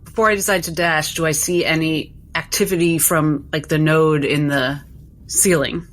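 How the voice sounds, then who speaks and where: A woman's voice: low voice, steady tone, moderate pace. A woman playing a tabletop game, asking questions and describing her moves in casual talk.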